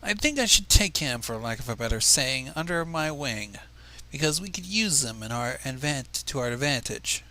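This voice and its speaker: A man's voice: medium pitch, lively intonation, normal speed, few pauses, pushed and bright, pitched below the performer's own voice, rough recording. A man voicing a confident, earnest young female unicorn student in a fanfiction reading, speaking reassuringly.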